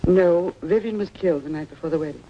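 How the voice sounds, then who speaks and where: Creaky older aunt, confiding. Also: female voice, fairly low pitch, quick pace. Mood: wistful gossip. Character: kindly, gossipy, cautious older aunt. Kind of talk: mid-century American film drama acting. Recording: dull, noisy vintage soundtrack.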